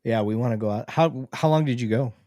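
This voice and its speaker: A middle-aged man hosting a podcast, curious and quick to ask questions and laugh. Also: medium pitch, dark tone, no echo, clean studio sound. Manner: curious, asks lots of questions, laughs easily. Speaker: podcast host. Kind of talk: casual chat.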